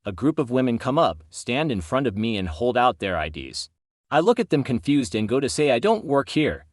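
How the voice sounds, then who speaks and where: Guy reading stories out loud, really lively pitch, super dry recording, does the dialogue. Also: a little fast, dry recording. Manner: acts out quoted dialogue. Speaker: channel host narrator reading stories. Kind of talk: scripted story narration.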